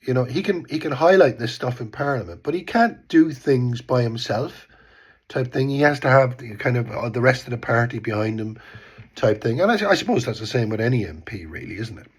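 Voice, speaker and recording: A man's voice: medium pitch, animated, a little fast, breathy edge. A man in his fifties with an Irish accent, stuffed up with a cold, giving rambling casual political commentary and often trailing off with "type thing". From Ireland. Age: 50s. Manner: rambling, repeats words, says "type thing". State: congested from a cold. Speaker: vlogger. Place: home in Bristol.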